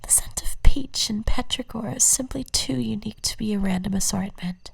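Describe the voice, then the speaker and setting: Breathy whispering stalker woman, acted out, slow and obsessive. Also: mid-range voice, dry recording, exaggerated voice, rough recording. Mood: adoring, insistent. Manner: slow, deliberate, poetic coaxing. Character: obsessive lovesick stalker woman, possessive and intimate. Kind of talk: whispered ASMR roleplay.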